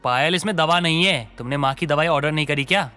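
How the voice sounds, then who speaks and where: Man acting sharp-voiced irritable young husband. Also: on the high side, fast talker, soft background music. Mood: annoyed, scolding. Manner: scolding, impatient. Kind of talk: dramatised Hindi moral story acting.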